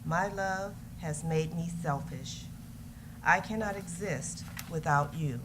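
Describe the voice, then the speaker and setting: Devoted, passionate lover played by a woman, deep female register, staged letter reading, clearly noisy recording. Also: slow. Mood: tender, anxious. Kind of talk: readers theater love letter. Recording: noisy live event recording.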